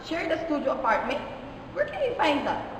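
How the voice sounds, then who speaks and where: A woman's voice: high voice, huge pitch swings, fairly quick, distant mic, background noise. A woman voicing a chatty, inquisitive child pupil who interrupts the teacher in a puppet show. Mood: curious.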